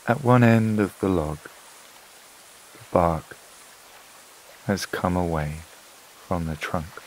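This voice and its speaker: A man narrating a scripted sleep meditation in a calm, soothing, unhurried way, with long pauses between phrases. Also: low-pitched, a little hiss or hum, low-quality recording. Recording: water and rain sounds behind voice. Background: flowing stream, rain-like ambience.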